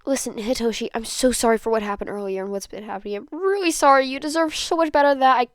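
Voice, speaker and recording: A woman's voice: on the high side, no echo, studio quality, pitched above the performer's own voice, natural voice. A woman voicing an anxious, guilt-ridden teenage boy in a story, stammering and pleading. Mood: pleading apology. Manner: stammering, pleading, rushed rambling. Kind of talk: dramatised fan fiction reading.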